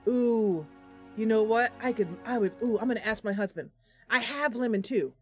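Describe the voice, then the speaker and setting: A woman doing casual live game commentary as a streamer, chatty and a bit goofy, thinking out loud as she goes. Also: fairly high pitch, normal speed, soft background music. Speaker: streamer and host of a gaming channel.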